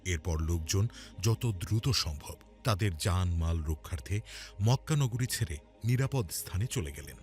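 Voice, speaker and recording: A man's voice: sits low, lively intonation, moderate pace, a little mellow. A man narrating a scripted religious cartoon story in a dramatic storytelling style. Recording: music under the voice. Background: background music.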